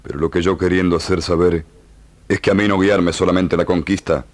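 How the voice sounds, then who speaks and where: An actor playing a proud, ferocious yet just Indian chief of the Pampas in a radio drama, speaking solemnly in broken Spanish. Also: male voice, sits low, little pitch movement, a little fast, natural voice. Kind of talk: old radio drama acting.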